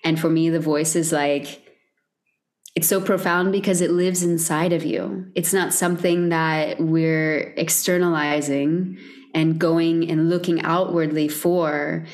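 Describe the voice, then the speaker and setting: A woman with a notably low, deep speaking voice talks in a soft, reflective way. She muses about inner experience, often pausing on "like" and "um", as a guest in a relaxed podcast conversation. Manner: soft, reflective, spiritual, lots of "like".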